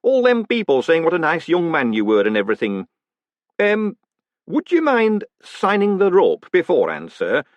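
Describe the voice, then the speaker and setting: A man's voice: a little high, wildly swooping pitch, moderate pace, dry recording, muffled recording, voice pushed up. Man doing a cheery, chatty hangman. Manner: friendly, businesslike, calls everyone sir. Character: cheerful obliging male hangman.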